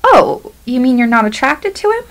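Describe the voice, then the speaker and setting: Wry, expressive long-married wife. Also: female voice, high-pitched, normal speed. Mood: probing. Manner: insistent, wry. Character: exasperated, pragmatic long-married middle-aged wife. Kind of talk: stage-style radio play acting.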